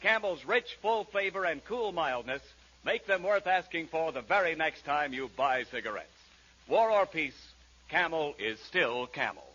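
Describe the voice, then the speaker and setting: A man's voice: fairly high pitch, animated, a little slow, sharp tone, a little hiss or hum. A man delivering a polished, emphatic radio advertisement in 1940s announcer style. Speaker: radio announcer, sponsor pitchman. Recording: dull 1940s radio recording.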